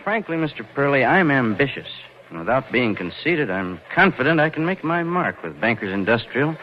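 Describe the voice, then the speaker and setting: An actor playing a cold-blooded, scheming young bank clerk who narrates in cynical, slangy 1940s radio noir style. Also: male voice, medium pitch, sing-song, moderate pace, a little hiss or hum, lightly acted. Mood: smooth, polite. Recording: dull lo-fi 1940s radio, loud music.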